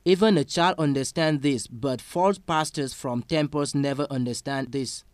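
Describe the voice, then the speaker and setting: A man interpreting a sermon line by line, slow and careful, with small slips. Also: medium pitch, dry recording.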